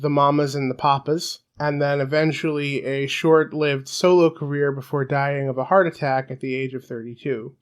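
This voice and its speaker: A man in his thirties with a high, boyish voice, hosting a casual solo video in a chatty, self-deprecating way. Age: 30s. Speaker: puppeteer host.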